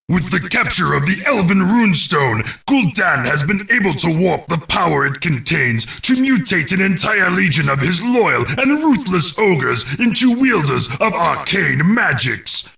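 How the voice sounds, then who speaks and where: Growly orc war narrator for a fantasy game, gravelly, big dramatic swings, ominous and bossy, bit distant. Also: male voice, fairly high pitch, pushed and bright, exaggerated voice. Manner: commanding, ominous, theatrical. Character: menacing orc war narrator, male, grim and imperious. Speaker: mission briefing narrator.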